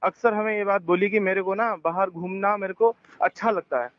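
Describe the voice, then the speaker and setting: A man's voice: sits high, steady tone, fairly quick. A man in a tense phone conversation, explaining himself insistently and repeating his points. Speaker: resort manager on a phone call. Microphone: telephone. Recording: muffled lo-fi recorded phone call.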